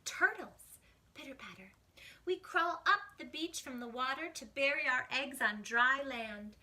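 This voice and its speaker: A woman with a high, very bright voice hosts a storytime for children. She reads rhymes aloud expressively, with animated, dramatic delivery. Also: a little slow. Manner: animated, exclaiming, dramatic hushes. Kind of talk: expressive read-aloud of a rhyming picture book for children.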